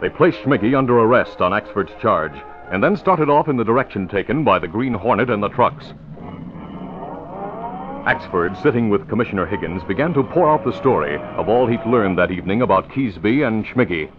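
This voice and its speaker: Animated old-time radio announcer man. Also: fairly low pitch, a little fast, over music. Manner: dramatic, emphatic. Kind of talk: 1940s radio scripted narration and sponsor reads. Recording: dull old radio recording.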